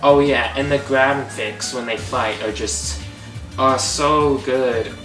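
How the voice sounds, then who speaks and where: A man's voice: medium pitch, fairly even intonation, slow pace. A young man hosting a comedic movie review, sarcastic and exasperated. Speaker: host. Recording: echoey, distant, under music.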